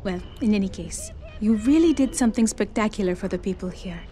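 An actress playing a sincere young villager in a video game, speaking softly. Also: female voice, medium pitch, lively intonation, brisk, a little hiss or hum, close to an everyday voice. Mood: grateful. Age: young adult. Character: sincere young woman villager, daughter of the leader.

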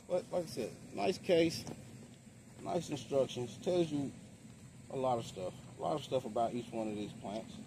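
A middle-aged man talking casually and plainly as he shows gardening supplies, recorded outdoors in a backyard. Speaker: home gardener vlogger. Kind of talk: casual product demonstration. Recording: noisy outdoor ambience, traffic-like rumble.

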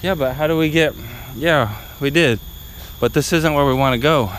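A man's voice: a little high, normal speed, slight background noise, poor audio. A man in his forties hosting a casual vlog, chatting to viewers as he hikes through a tropical jungle with a handheld camera. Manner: chatty, narrating to viewers while hiking. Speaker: vlogger. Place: outdoors in a tropical jungle by a river. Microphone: handheld action camera.

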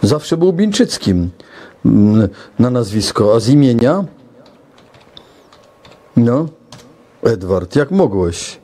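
A man's voice: mid-range voice, very animated, slow pace, pauses often, dark tone. A middle-aged man co-hosting a talk show, cutting in with terse corrections. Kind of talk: brief conversational interjections.

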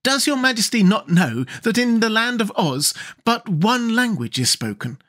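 A male reader acts out a young servant girl from a storybook, raised to a very high, lilting pitch. She is pert and mischievous, teasing under a demure manner. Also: big pitch swings, normal speed, fairly bright tone. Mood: amused. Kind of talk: storybook character voice.

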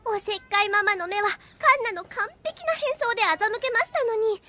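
A woman voicing a flustered, scheming little anime girl who talks in prim, ladylike phrases and gets excitable. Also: extremely high pitch, moderate pace, noisy background. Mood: scheming, excited. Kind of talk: anime comedy audio drama acting.

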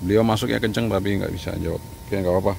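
Amateur radio operator: man, middle-aged, Indonesian accent, clipped call-sign delivery, recorded outdoors with clear background noise. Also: low-pitched, steady tone, fast, darkish tone. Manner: repeats call signs in clipped phonetic spelling. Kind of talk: radio contact calls and casual commentary. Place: open rooftop outdoors. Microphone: camera a short distance away.

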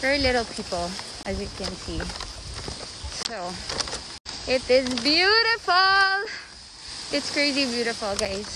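A woman with a high voice vlogs in a casual, exclamatory way. She talks at an unhurried, fast-and-loose conversational level, mixing English and Tagalog. The phone recording has clear outdoor noise behind her. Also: very animated. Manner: chatty, exclamatory, switches between English and Tagalog. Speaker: vlogger and mother. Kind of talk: casual vlog chat to camera and family. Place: outdoor beach. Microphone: handheld phone at arm's length.

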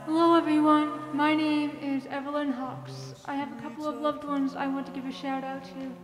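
A woman's voice: sits high, flat delivery, moderate pace, lightly acted. A teenage girl playing a devoted young 1940s wife and mother in a radio play. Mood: tender. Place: theater stage. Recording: echoey hall with music behind.

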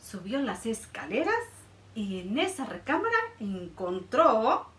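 A woman in her thirties reading a picture book aloud to children, expressive and sing-song. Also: on the high side, slow, slight background noise. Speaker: children's librarian storyteller. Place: indoor room by a felt board. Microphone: camera a few feet away. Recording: roomy sound from a distance.

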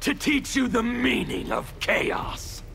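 An actor playing a scarred, chaos-loving anarchist warrior in a fighting game, with a gravelly, snarling voice. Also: male voice, very high voice, huge pitch swings, slow pace, sharp tone, exaggerated voice. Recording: game audio with music behind.

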